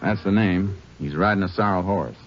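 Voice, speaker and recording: Deep-voiced laconic cowboy lawman played by an actor. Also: moderate pace, close to an everyday voice. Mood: terse, determined. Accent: American. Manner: folksy, terse cowboy talk. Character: laconic Texas Ranger, shrewd, steady lawman posing as drifter. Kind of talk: old-time radio western acting and narration. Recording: muffled vintage radio recording, music behind.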